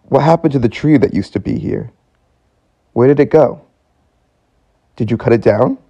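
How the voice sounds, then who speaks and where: A man playing a gravelly, earnest young man. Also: mid-range voice, moderate pace, warm tone, dry recording, lo-fi sound. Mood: bewildered. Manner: hesitant, earnest, searching. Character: earnest, bewildered young man of twenty-six. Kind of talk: radio play acting.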